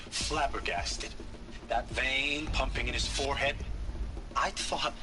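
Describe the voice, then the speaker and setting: A man's voice: fairly high pitch, rough recording. An actor playing an angry, sarcastic man in a video game, incredulous and stammering with scorn. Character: angry, sarcastic man refusing to cooperate, demanding to leave.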